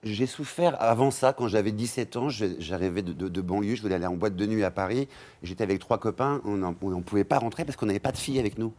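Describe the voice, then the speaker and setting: A man's voice: fairly low pitch, darkish tone. A man guest on a TV debate telling a lighthearted story and joking. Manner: joking, rapid.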